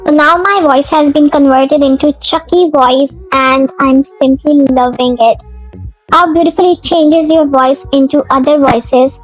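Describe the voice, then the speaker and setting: A woman voicing a horror doll character through a voice-changer filter in a software demonstration. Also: high voice, steady tone, measured pace, pitched above the performer's own voice, poor audio. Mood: pleased. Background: background music.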